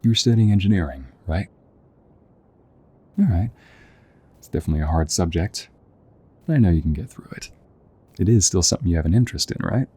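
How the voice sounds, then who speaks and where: Man acting a deep, husky young soldier, affectionate. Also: lively intonation, brisk, long pauses, dry recording. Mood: tender. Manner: gentle, affectionate, hesitant, chuckling conversational delivery. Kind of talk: intimate audio roleplay acting.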